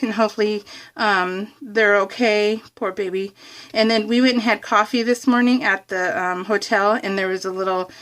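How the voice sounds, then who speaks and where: Chatty, high-voiced woman with a giggly manner. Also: fairly bright tone. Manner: bubbly, giggly, rambling. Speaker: craft vlogger. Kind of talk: casual chat while showing scrapbook layouts. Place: indoors, room at home.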